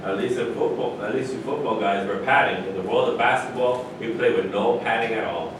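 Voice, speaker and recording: A man playing a reasonable basketball player in a stage play reading, speaking in a measured, conversational way. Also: close to an everyday voice. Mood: mildly objecting. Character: reasonable basketball player among proud athletes. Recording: distant mic, heavy echo, noisy rumble.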